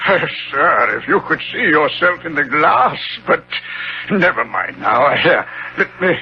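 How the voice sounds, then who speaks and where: An actor playing a devoted, anxious gentleman's manservant in a radio drama, obsequious, solicitous and easily flustered. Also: on the high side, sing-song, a little slow. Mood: soothing. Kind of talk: 1970s radio drama acting. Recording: muffled, hissy 1970s radio broadcast.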